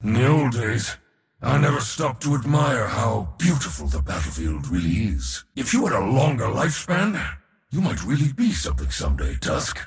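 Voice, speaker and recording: An actor voicing a proud, battle-hungry god of war in a video game, with a theatrical, mocking, boastful delivery. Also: male voice, mid-range voice, huge pitch swings, normal speed, gravelly, long reverb tail. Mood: reflective, subdued. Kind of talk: video game character voice lines.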